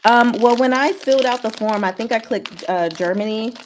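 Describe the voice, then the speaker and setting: A woman's voice: fairly high pitch, normal speed, background noise. A woman hosting a casual livestream, chatting with viewers in short, giggly asides. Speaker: livestream host.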